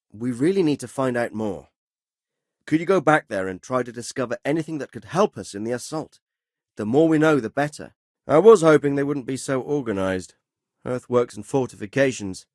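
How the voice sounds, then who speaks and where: An actor playing a stern, dry-witted middle-aged medieval lord in a video game, speaking with formal, measured authority and a wry, sardonic edge. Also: male voice, medium pitch, no echo, natural voice. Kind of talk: video game dialogue acting.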